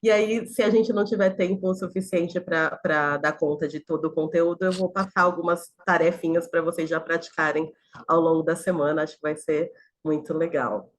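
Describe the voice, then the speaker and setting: A Brazilian woman joins a video call as a guest teacher. Her voice is low and her speech is eager. She restarts her phrases now and then as she talks. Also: moderate pace. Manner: eager and excited, with small restarts. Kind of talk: friendly video-call talk.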